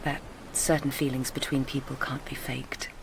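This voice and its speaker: An actress playing an anxious, tender young lover with an English accent, in naturalistic radio drama. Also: female voice, very deep voice, flat delivery, moderate pace, creaky, very noisy. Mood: intimate, subdued.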